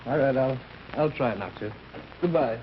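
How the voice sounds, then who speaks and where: A man's voice: mid-range voice, animated, moderate pace, close to an everyday voice. A man playing a meek, anxious father, fretful and pleading, in an old-time radio drama. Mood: sad, pleading. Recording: noisy, muffled vintage radio recording.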